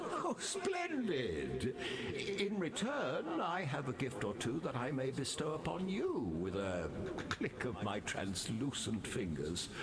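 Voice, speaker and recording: Game role: courtly undead sea rogue, cunning and grandiloquent, unhurried, highly animated pitch, loud din behind. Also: male voice. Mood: coaxing, delighted. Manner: flowery, grandiloquent, dramatic phrasing with flourishes. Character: roguish, theatrical undead revenant seafaring gentleman, courtly and cunning. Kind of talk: theatrical video game character dialogue.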